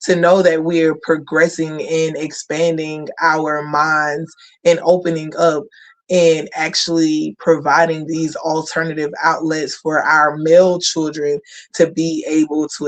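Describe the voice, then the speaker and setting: A man's voice: fairly high pitch, little pitch movement, measured pace, rough recording. A man hosting a community radio talk show, chatting in a conversational, affirming way. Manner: conversational, affirming, lots of "you know". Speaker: host.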